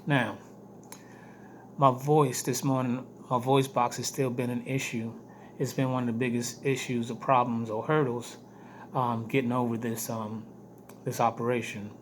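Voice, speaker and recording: Hoarse man with a weak voice. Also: medium pitch, level tone. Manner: halting, repeats phrases for emphasis. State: hoarse, weak voice after throat surgery. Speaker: vlogger giving health updates. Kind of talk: casual video diary update to camera. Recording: noticeable background noise.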